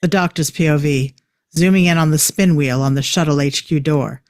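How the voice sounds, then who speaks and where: Someone reading screenplay directions, middle pitch voice, very dry sound, no room. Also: moderate pace, a little mellow, dry recording. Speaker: stage-direction reader. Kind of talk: scripted narration.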